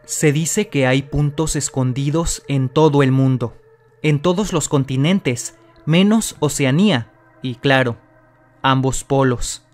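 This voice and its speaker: A man's voice: medium pitch, takes it slowly, soft background music. A man narrating a mystery video from a script, speaking straight to the viewer in a dramatic tone. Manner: dramatic, addresses the viewer directly. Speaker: channel host narrator. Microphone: voice-over.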